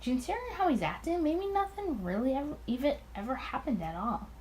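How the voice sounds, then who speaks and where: A woman's voice: sits high, sing-song, brisk, darkish tone, slight background noise, voice pushed up. A woman reading the first-person thoughts of an anxious, smitten young male detective in a visual novel. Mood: anxious, brooding.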